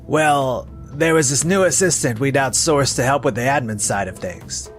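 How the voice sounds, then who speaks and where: A man's voice: medium pitch, moderate pace, faint music behind, lightly acted. A man playing an evasive, excuse-making salesman in a scripted manga dub. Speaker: sales representative character.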